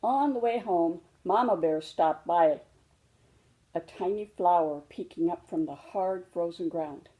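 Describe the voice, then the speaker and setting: A woman narrating a children's picture book aloud in a gentle, deliberate manner. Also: lowish voice, narrow, tinny audio. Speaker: storybook reader.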